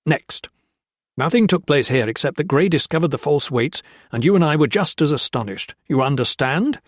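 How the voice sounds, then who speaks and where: A man's voice: a little high, lively intonation, moderate pace, dry recording, clean studio sound, pitched above the performer's own voice. A man voicing a crooked army colonel who commands, blusters and threatens. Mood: bullying. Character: bullying male army colonel, menacing and crooked.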